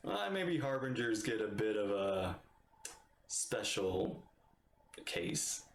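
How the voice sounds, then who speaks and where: A man's voice: lowish voice, sing-song, slow pace, echoey room, crowd noise. A man streaming his reaction to a game, talking casually and stumbling over restarts.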